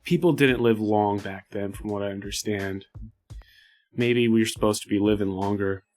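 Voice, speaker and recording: A man's voice: fairly low pitch, normal speed, darkish tone, soft background music. A man playing a tabletop RPG, improvising casually in character in a hesitant, rambling way. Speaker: player in a tabletop RPG podcast.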